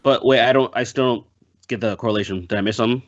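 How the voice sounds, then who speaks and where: A man in casual podcast chat, rambling and halting, swearing freely. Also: medium pitch, lo-fi sound. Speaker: podcast guest. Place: indoor room on a group video call.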